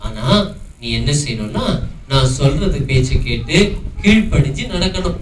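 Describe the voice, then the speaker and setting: A man's voice: mid-range voice, sing-song, normal speed, lightly acted. A man playing a stern puppet father. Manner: scolding, lecturing. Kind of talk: puppet show for children. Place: prayer house hall. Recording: echoey hall, distant mic, faint noise.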